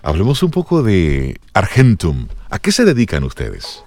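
A man's voice: low voice, wildly swooping pitch, moderate pace, darkish tone, no echo. A man hosting a broadcast interview show and introducing the topic and guest. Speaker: radio show host.

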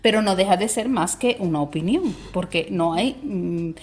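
Woman calmly explaining, steady measured voice. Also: fairly low pitch. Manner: measured, explanatory, with tag questions. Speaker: language expert guest. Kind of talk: conversational explanation on a talk show.

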